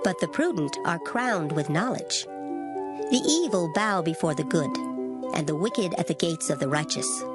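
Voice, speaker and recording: A woman giving a dramatized reading of scripture in formal old English, measured and solemn. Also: fairly low pitch, lively intonation. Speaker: scripture reader. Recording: loud music behind the voice. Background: music.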